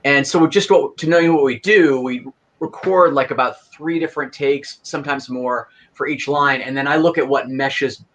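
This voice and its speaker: A man's voice: medium pitch, fairly even intonation, moderate pace, a bit bright, muffled recording, a little hiss or hum. A man, producer of an audio drama, chatting casually and rambling with many fillers. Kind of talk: casual chat.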